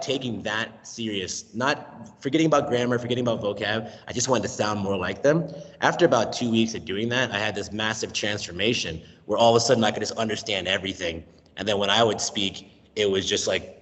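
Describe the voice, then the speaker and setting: An American man in his thirties, a language teacher talking as an interview guest, lively and given to acting out voices in his stories. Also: fairly low pitch, fairly quick, big-room sound. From United States. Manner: animated, acts out voices and accents in his stories. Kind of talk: casual interview talk. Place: remote call from Mexico.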